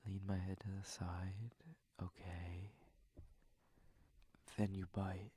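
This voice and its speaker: Man playing a low, breathy, whispering shy prince. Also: flat delivery, takes it slowly, exaggerated voice. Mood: hesitant, nervous. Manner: gentle, polite, hesitant, formal phrasing. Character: courteous, shy, flustered young prince at a masquerade. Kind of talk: intimate ASMR roleplay, addressing the listener.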